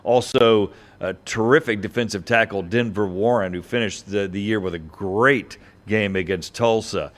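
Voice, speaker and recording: A man hosting a sports podcast, talking and interviewing in a conversational way. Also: fairly low pitch.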